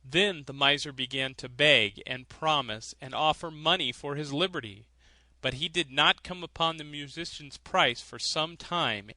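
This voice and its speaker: A man narrating a fairy tale audiobook in an expressive storytelling manner. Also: fairly high pitch, no echo.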